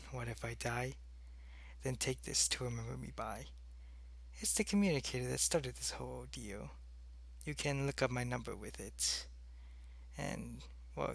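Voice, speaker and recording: A man voicing an earnest, devoted alien spy in an ASMR audio roleplay, speaking in a measured, halting way. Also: medium pitch, gravelly, fairly bright tone, no echo.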